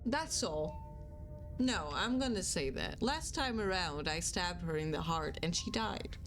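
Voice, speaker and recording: A woman's voice: on the low side, lively intonation, unhurried, fairly bright tone, soft background music. Playful woman streaming a game. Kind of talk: casual game commentary.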